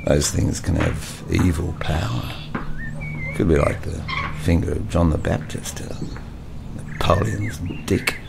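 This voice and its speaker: An actor playing a laid-back, cryptic young hippie who drawls in slang, with music behind him. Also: male voice, low-pitched, a little slow, creaky, noisy background, heavily acted. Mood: ominous. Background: music score.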